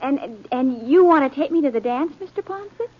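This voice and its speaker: An actress playing a shy but proud young country woman, halting and earnest, with a rural American accent in an old-time radio western. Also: sits high, expressive. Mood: puzzled. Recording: dull, lo-fi vintage radio recording.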